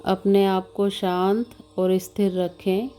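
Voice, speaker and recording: Very slow, mellow woman guiding meditation. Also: medium pitch, clean tone, faint music behind. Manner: calm, measured, soothing instructions with long pauses. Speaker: yoga nidra meditation instructor. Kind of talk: slow guided meditation narration.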